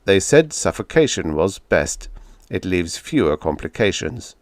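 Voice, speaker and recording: A man voicing a calm, blunt visitor from the future who speaks in a flat, firm, matter-of-fact way. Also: sits low, unhurried, no echo.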